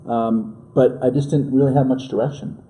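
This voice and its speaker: A man in his forties from New York, a podcast guest who talks in a halting, casual way full of fillers and restarts. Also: mid-range voice, fairly even intonation, warm tone. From New York, USA. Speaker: guest entrepreneur.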